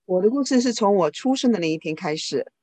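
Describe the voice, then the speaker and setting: A woman interpreting a speaker's talk into another language live, quickly and informally, often correcting herself. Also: medium pitch, animated, warm tone.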